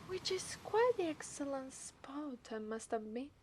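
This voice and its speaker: Obsessive, seductive mermaid played by a woman, Italian accent, unhurried teasing half-whispers, ASMR roleplay, light ambient noise. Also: sits high, very animated. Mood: playful, curious. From Italy.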